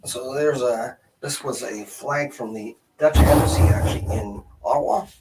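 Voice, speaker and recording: A man chatting unscripted as host of a live stream, halting and searching for words. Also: medium pitch, very noisy.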